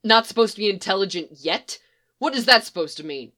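A woman's voice: medium pitch, animated, brisk, sharp tone. A woman voicing a stern male clone soldier commander, giving grim, clipped orders.

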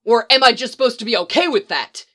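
A woman doing a reckless young male agent who shouts and curses through his anger, the voice loud, bright and sharp-edged. The tone stays bitter and raw, reading from a storybook. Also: medium pitch, sing-song, moderate pace. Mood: furious.

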